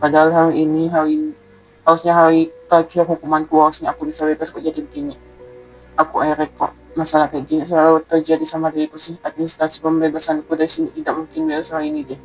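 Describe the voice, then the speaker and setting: A woman who natively speaks Indonesian reads game text aloud in a casual streamer style. She talks quickly with a noticeably creaky voice, over faint game music. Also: deep voice, animated, low-quality recording. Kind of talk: casual game playthrough, reading dialogue aloud.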